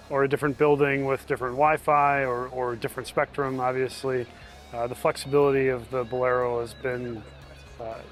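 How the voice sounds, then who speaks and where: Even-toned man with music behind. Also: mid-range voice. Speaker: backstage audio technician. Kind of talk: testimonial interview. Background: background music.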